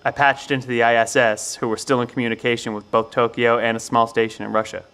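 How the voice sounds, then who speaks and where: An actor playing an earnest professor among survivors in an audio drama, asking precise, probing questions. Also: male voice, mid-range voice, flat delivery, quick pace, a bit bright, smooth, lightly acted. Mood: curious, probing. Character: earnest, inquisitive male professor leading a band of survivors.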